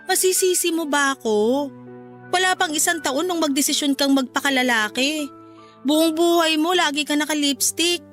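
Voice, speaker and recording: A woman's voice: sits high, moderate pace, a bit bright, soft background music. A woman playing a jealous, insecure young wife in an emotional, argumentative radio drama scene. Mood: hurt, accusing. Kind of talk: radio drama dialogue acting.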